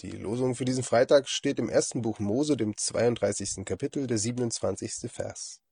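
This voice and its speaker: Husky man with a cold, calm devotion. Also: mid-range voice, no room sound. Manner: calm, measured reading. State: hoarse from a cold. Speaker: lay preacher giving a daily devotion. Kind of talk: devotional reflection.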